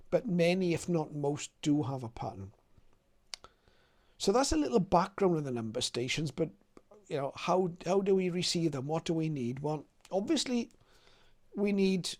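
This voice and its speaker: Male presenter, slightly high voice, conversational step-by-step explainer for a hobby channel. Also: a little mellow. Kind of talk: informal explainer to viewers.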